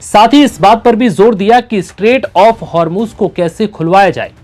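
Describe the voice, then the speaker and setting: A man's voice: sits high, moderate pace, darkish tone. A man reading scripted news narration as a presenter. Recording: music bed under the voice. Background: background music.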